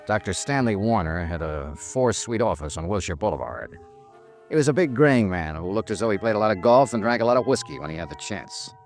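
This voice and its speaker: An actor playing a tough, terse, sardonic investigator in a 1950s radio noir drama, in both dialogue and narration. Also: male voice, on the low side, big pitch swings, a little fast, faint music behind. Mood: matter-of-fact narration. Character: tough, blunt hardboiled insurance investigator.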